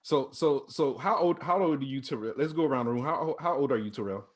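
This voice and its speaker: A man's voice: medium pitch, brisk. A man hosting a casual interview, rambling and repeating himself as he encourages his young guests. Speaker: host. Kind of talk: casual church-music interview.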